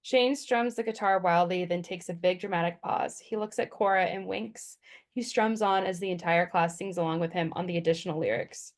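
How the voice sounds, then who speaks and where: A woman's voice: on the low side, normal speed. A woman narrating stage directions from a script at a table read.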